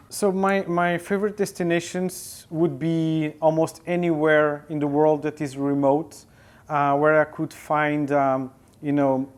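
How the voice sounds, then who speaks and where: A man's voice: on the high side, clean tone. A man from Portugal, a native Portuguese speaker with a Portuguese accent, answering interview questions as a guest, hesitant with many fillers. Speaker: guest photographer.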